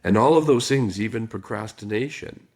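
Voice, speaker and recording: Raspy deep-voiced man explaining calmly. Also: normal speed. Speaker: guest, trauma specialist. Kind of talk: casual podcast interview.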